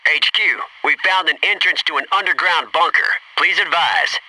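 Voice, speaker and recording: Clipped Navy SEAL squadmate, noisy distant audio. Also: male voice, fairly high pitch, lively intonation, moderate pace, pushed and bright. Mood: focused, businesslike. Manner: clipped military reporting with dry wisecracks. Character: alert, loyal Navy SEAL squad soldier reporting in. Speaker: video game voice actor. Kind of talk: military shooter game voice lines, radio reports.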